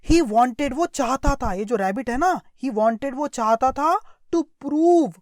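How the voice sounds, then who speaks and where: A woman's voice: sits high, lively intonation, takes it slowly, dry recording, clean studio sound. A woman with an Indian accent giving a translated story lesson, explaining phrase by phrase and often repeating herself to check understanding. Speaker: English teacher.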